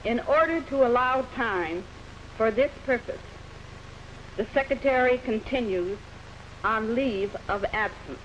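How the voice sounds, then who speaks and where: A woman's voice: on the high side, measured pace. A woman with an American accent, a press secretary reading an official statement aloud in short, formal phrases. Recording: dull 1950s news recording, faint noise.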